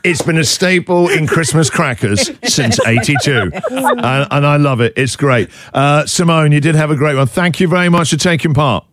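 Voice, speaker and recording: Lively jokey male radio host. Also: on the high side, moderate pace. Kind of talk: upbeat radio banter with callers.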